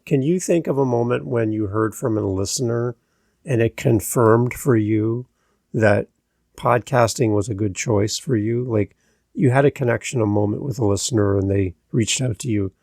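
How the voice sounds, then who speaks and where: Man, podcast host, chatty and casual, gravelly voice, dry close sound. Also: medium pitch, normal speed, darkish tone, no room sound. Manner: chatty, uses filler "like".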